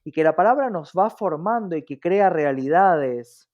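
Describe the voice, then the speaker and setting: A man with an Argentine accent and a fairly high-set voice answers as an interview guest. He speaks in an earnest, reflective way and often adds little tag questions. The sound is a bit dull and lo-fi. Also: lively intonation, measured pace. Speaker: interview guest, diversity activist.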